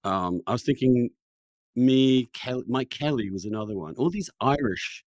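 An English man past his youth interviews in a loose, conversational way. He stumbles back over his words, restarts sentences and drifts into asides, at a middling pitch, recorded close and dry. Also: animated, darkish tone, dry recording. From England. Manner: halting, repeats words and restarts often, digressive. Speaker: podcast host and interviewer.

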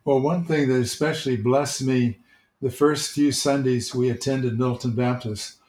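A man who is a church elder, gently telling stories from his church life in casual, reflective talk. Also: medium pitch, fairly even intonation, moderate pace. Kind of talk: casual storytelling. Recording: roomy, echoey sound.

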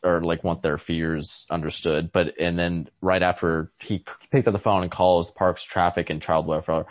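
A low-voiced man chats about books as a podcast co-host. He feels his way haltingly through each idea with an amused, casual air. The sound is lo-fi and very dry, with no room around the voice. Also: flat delivery, no room sound. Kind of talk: casual literary discussion. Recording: lo-fi, dry close recording.

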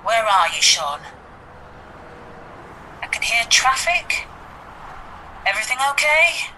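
An actress playing a concerned, persistent detective inspector in an audio drama, coaxing and questioning in a measured way. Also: female voice, on the high side, big pitch swings, pushed and bright, slight background noise, heavily acted, rough recording. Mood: urgent, reassuring.

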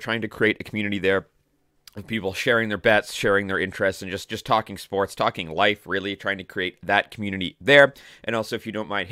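A young man with a hoarse voice hosting a casual sports betting show, talking conversationally with fillers and self-corrections. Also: on the low side, fairly bright tone, dry recording, clean studio sound. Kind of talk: casual solo sports picks talk.

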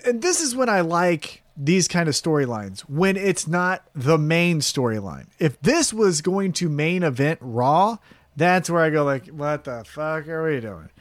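A man co-hosting a wrestling podcast, talking in a blunt, profane, animated way. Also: fairly high pitch, unhurried, dry recording. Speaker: podcast co-host. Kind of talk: casual wrestling chat.

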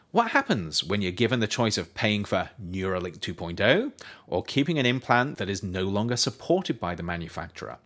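A man reading scripted nonfiction as its author and narrator, in a measured, emphatic, explanatory manner. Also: fairly low pitch, a bit bright.

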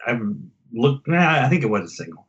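A man's voice: medium pitch, sing-song, brisk. A middle-aged American man chatting casually as a podcast guest, in a rambling, conversational way. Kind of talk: casual chat, reminiscing. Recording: slightly dull, lo-fi connection.

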